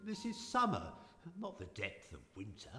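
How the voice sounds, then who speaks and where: An actor playing a genteel, jittery English husband and Great War veteran, with clipped old-fashioned phrasing, in a live period radio drama. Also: male voice, on the low side, huge pitch swings, normal speed, sharp tone, exaggerated voice. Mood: uneasy. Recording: echoey hall, live performance.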